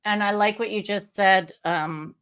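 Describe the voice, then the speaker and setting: A woman's voice: medium pitch, fairly even intonation, measured pace, a bit bright, smooth. A woman hosting a livestream interview, enthusiastic and reflective in casual talk over a video call. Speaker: stream host.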